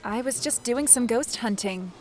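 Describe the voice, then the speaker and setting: A woman acts a teenage girl in a dramatized fiction podcast. She is guarded and understated, speaking in a fairly high voice and giving little away. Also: moderate pace, faint music behind, lightly acted. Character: guarded injured teenage girl playing innocent. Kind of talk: dramatized audio fiction acting.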